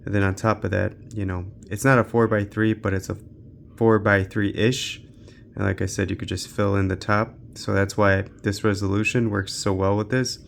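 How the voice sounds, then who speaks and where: A man's voice: fairly low pitch, little pitch movement, normal speed, creaky, a little hiss or hum. A man giving casual, unscripted gaming commentary as a channel host, thinking aloud in a laid-back way.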